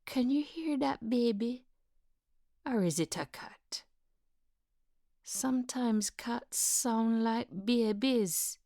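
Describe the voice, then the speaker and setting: A woman playing a frightened, confused, worn-down mother in a dramatic monologue, hushed and halting. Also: a little high, no room sound, clean studio sound. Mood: anxious. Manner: halting, hesitant, repeating herself, wandering.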